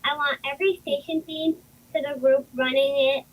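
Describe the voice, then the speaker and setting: A woman's voice: high voice, steady tone, normal speed, close to an everyday voice, low-quality recording. A woman playing a bossy, precocious nine-year-old girl in an amateur audio play, with a commanding, matter-of-fact delivery. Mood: bossy, brisk.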